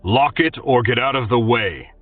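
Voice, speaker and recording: A man voicing a cool-headed male swordsman in a storybook reading, speaking calmly and with quiet menace. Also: medium pitch, moderate pace, dry recording, distant mic, pitched above the performer's own voice, natural voice. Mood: commanding. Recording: tinny phone-like audio, faint music.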